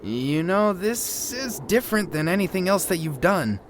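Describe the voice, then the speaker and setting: A man's voice: sits high, big pitch swings, moderate pace, a little hiss or hum. A man playing a fussy, exasperated podcast sound technician in naturalistic audio drama dialogue, informal and nagging.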